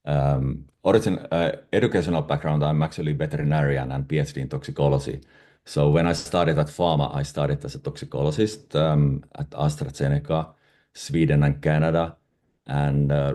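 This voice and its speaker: A man's voice: a bass voice, warm tone. A middle-aged man from Finland with a Finnish accent, answering conversationally as an interview guest. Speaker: guest, independent pharmaceutical consultant.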